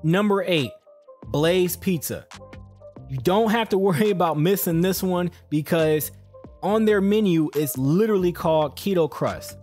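A man's voice: sits high, animated, unhurried, a little mellow, soft background music. A man hosting a fitness channel, reading a scripted countdown voice-over in an upbeat, direct way and speaking straight to the viewer. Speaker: fitness and keto channel host. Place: voice-over over slides, not recorded on screen.

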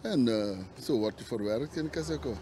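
A middle-aged man answering haltingly in an unscripted interview. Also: mid-range voice, expressive, dark tone. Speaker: interviewee, gospel singer. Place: outdoors beside palms. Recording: outdoor interview with background noise.

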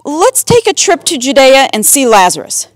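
A woman voicing a calm, reassuring, solemn male religious teacher in a storybook Bible tale. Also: sits high, moderate pace, dry recording, studio quality, voice pushed up.

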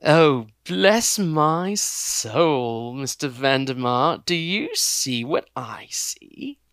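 A man reading an audiobook, voicing a soft-spoken, sinister villain who drawls his words slowly and mockingly. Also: a little high, sing-song, clear, resonant tone, dry recording, studio quality, exaggerated voice.

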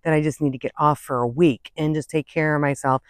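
A woman's voice: low-pitched, steady tone, a little fast. A woman of about fifty-four talks casually to a camera held at arm's length. She gives advice as a hiking vlogger in a conversational way, drifting into small asides. The sound is dry and open, recorded outdoors with no echo. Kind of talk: casual chat to camera, giving tips. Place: outdoors on an open woodland and prairie trail. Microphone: phone or camera at arm's length.

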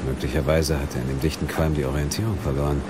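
An actor playing a wry, flirtatious Scotland Yard detective in a German crime audio drama, ironic and bantering. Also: male voice, extremely low pitch, flat delivery, quick pace, very noisy. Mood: musing.

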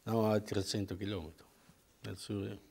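Terse low-voiced Portuguese-born man. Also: fairly even intonation, moderate pace, vocal fry, darkish tone, slightly rough. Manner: terse, plain short answers. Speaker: studio guest, flower grower. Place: TV studio set. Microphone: lapel.

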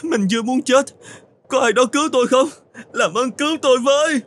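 A man's voice: very high voice, brisk, a bit bright. A man voicing an anxious young male cartoon camel in a whiny, exclamatory, melodramatic way. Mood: panicked pleading. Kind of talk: cartoon voice acting.

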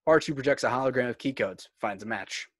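A man with a mid-pitched voice narrates a screenplay's stage directions. His delivery is measured and clipped, recorded close with almost no room sound. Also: dry recording. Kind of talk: scripted narration in a fan table read.

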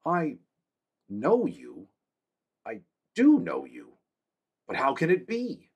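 A man reading aloud, voicing an earnest young king with a raised, husky voice. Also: fairly high pitch, wildly swooping pitch, takes it slowly, darkish tone, voice pushed up, heavily acted. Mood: amazed.

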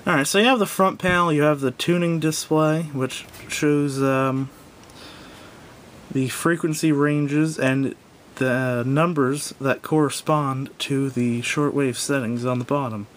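A man's voice: steady tone, unhurried. Hesitant man reviewing a gadget, noisy recording. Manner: hesitant, rambling, self-correcting. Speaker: product reviewer. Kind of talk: casual unscripted hands-on gadget review. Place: indoors at a wooden table. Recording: noisy home recording with low rumble.

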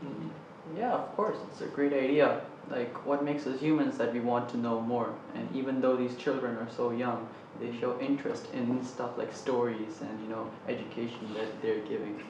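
A man's voice: mid-range voice, steady tone, normal speed, noisy background. A man on a student panel making an earnest, argumentative case. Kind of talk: opinionated discussion. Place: studio with panel on a couch. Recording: echoey, slightly dull studio sound.

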